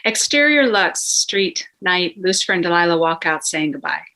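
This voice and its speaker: A woman's voice: fairly low pitch, big pitch swings, moderate pace, sharp tone, rough recording. A woman narrating a TV script's scene descriptions and stage directions at a table read.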